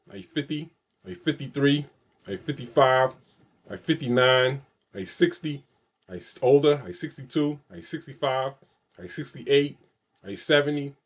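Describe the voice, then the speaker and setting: Rambling New man in his forties. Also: medium pitch. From New York City. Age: 40s. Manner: repetitive, rambling, loops over the same phrases. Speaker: vlogger. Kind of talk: casual solo vlog to camera. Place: small bedroom, indoors.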